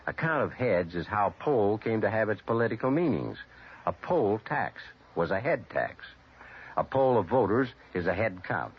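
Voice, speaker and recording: An American male radio presenter with a lowish, animated voice. He narrates and explains in scripted style on a dull, muffled old recording. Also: moderate pace, slightly rough.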